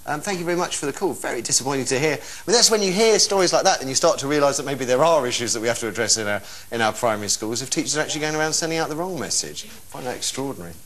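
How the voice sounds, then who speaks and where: A man's voice: medium pitch, expressive, rapid-fire, hardly any pauses, a bit bright. A man hosting a live phone-in discussion, speaking informally and emphatically and putting questions to callers. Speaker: phone-in programme host.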